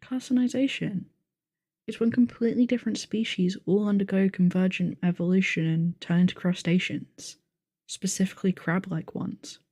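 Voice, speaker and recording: Mellow, pedantic woman as a blunt game master. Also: medium pitch, fairly even intonation, moderate pace, no echo, studio quality, close to an everyday voice. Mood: matter-of-fact. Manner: matter-of-fact, pedantic. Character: strict, blunt, rule-following game master with sensitive ears, female nonhuman. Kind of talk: ASMR audio roleplay.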